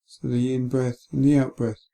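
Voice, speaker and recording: Male guided-meditation voice, soft-edged and relaxed, calm and unhurried, flat melody. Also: medium pitch, vocal fry. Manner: gentle, calm, unhurried with long pauses. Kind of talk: guided body scan meditation.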